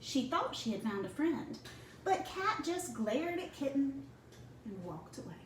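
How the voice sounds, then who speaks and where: A woman with a fairly high voice reads a children's story slowly and deliberately, breaking off to put questions to her young listeners. The recording is roomy and a little distant from the mic, with noticeable noise behind her. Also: very animated. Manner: slow, deliberate phrasing for children. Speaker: teacher reading a picture book to children. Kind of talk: read-aloud storytelling with asides to young listeners.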